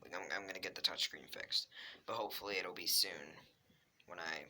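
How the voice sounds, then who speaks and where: Very sharp, bright-voiced man vlogging casually. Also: deep voice, steady tone, brisk. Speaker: video uploader. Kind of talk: casual explanatory vlog. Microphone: handheld Android phone. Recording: cheap phone mic, faint noise.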